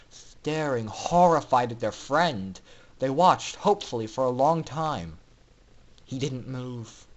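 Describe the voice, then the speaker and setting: Animated man narrating with theatrical emphasis. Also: on the high side. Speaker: amateur story narrator. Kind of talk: dramatic fan fiction reading.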